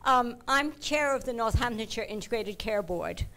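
A woman's voice: on the high side, expressive, moderate pace, bright, forceful tone, low-quality recording. A woman in the audience at a conference, speaking confidently and directly as she asks a question. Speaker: audience member asking a question.

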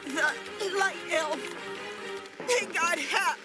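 A excitable male sentry in a fantasy game, alarmed and stammering. His voice is high and his words come very slowly drawn out, over loud music. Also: full character voice. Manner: panicky, stammering. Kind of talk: video game voice acting.

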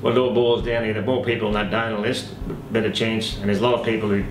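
Older man, halting and repetitive. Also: fairly low pitch, little pitch movement, reverberant, music in the background, rough recording. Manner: halting, repeats words often. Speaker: interviewee. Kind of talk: casual interview. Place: indoor room, plain wall.

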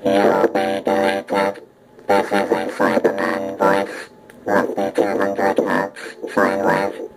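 A deep robot voice, acted out by a man, speaks slowly with a heavily gravelly, metallic buzz. It is ring-modulated like a sci-fi machine villain and comes out of a small desktop speaker. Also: completely flat delivery, a little hiss or hum, full character voice, rough recording. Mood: cold, menacing. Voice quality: buzzy, metallic. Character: menacing robot, Dalek or Cyberman style. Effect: ring modulator robot filter.

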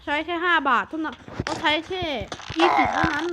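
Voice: high-pitched, fast. A gamer chatting casually through game role-play, talking like a bossy older sibling to a small child. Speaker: gaming video host. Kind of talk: casual Minecraft gameplay chat with role-play. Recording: clearly noisy home recording with clicks.

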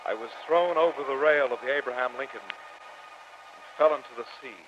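An actor playing an earnest, formal professor who narrates a sea adventure in old-time radio drama style. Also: male voice, medium pitch, brisk, lightly acted. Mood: grave narrating. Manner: formal, theatrical, dramatic delivery. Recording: muffled old radio recording, music underneath.

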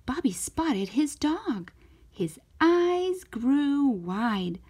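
A woman narrating a children's story aloud in an expressive, careful storytelling manner, as a storytime host. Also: high voice, very slow. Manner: animated, careful storytelling delivery.

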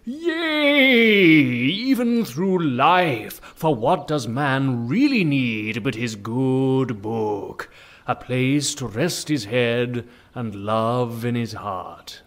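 An actor playing a humble, pious old friar who preaches in mock-archaic speech in a comic audio drama. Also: male voice, medium pitch, expressive, very slow, fairly bright tone. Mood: sanctimonious.

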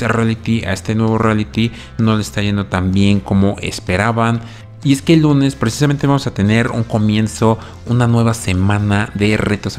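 A man hosting a video channel in a casual, conversational way, commenting off the cuff and often checking in with tag questions. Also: lowish voice. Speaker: YouTube host recapping reality TV. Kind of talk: casual scripted-free commentary to subscribers.

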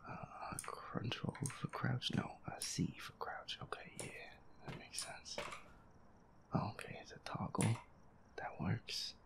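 A man's voice: takes it slowly, in a whisper, lightly acted. A man playing a feisty, foul-mouthed werewolf boyfriend in an audio roleplay, grumbling and muttering to himself as he reluctantly plays a horror game. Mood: grumbling, resigned. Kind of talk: casual gameplay commentary, audio roleplay.

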